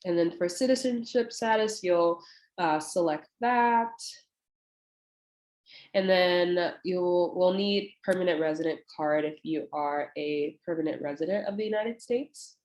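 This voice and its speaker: A young woman with a congested, stuffy nose explains an application form step by step in a relaxed, friendly way, dropping in plenty of ums and uhs. Her voice sits fairly low for a woman. It carries the echo of a bare room picked up by a computer mic. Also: a little slow. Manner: casual and friendly, many fillers. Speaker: admissions representative. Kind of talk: walking through an online application on a screen share. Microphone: computer mic on a video call.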